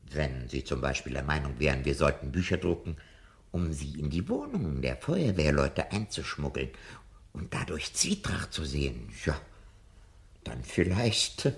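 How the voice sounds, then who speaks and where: Animated, disillusioned old professor. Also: male voice, very deep voice, a little slow, vocal fry, heavily acted. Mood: thoughtful, cautious. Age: older. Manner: theatrical, eloquent, emphatic declaiming. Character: disillusioned, fearful old professor, bookish and sardonic. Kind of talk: 1970s German radio drama acting.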